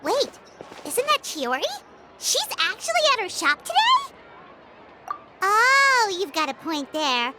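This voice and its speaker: Tiny squeaky girl sidekick from a video game, super high, bubbly, swoopy, loud music behind. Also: wildly swooping pitch, slow, long pauses, exaggerated voice. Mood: curious. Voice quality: squeaky, childlike. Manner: bubbly, inquisitive, exclamatory.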